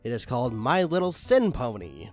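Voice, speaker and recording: A man reading a badly written story aloud with mocking deadpan asides and spoken punctuation, over faint music. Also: mid-range voice, moderate pace. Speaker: reader of online stories. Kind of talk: comedic dramatic reading with commentary.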